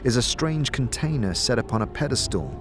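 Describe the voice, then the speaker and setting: A man with a medium-pitched voice delivers scripted fantasy narration. His telling is dramatic, measured and deliberate, with music playing clearly behind it. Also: lively intonation.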